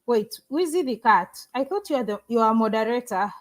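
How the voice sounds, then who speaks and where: Chatty higher-voiced woman from Africa. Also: lively intonation, fairly quick. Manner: chatty, restarts sentences, addresses viewers directly. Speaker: YouTuber livestreaming and reading chat. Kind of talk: casual live-stream chat. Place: living in the Caribbean.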